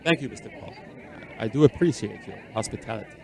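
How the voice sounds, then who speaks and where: An actor in a radio drama playing a weary, secretive, centuries-old immortal man who speaks in formal, measured, eloquent phrases. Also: fairly low pitch, expressive, dark tone, some chatter behind. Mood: courteous, guarded. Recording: orchestral film music underneath.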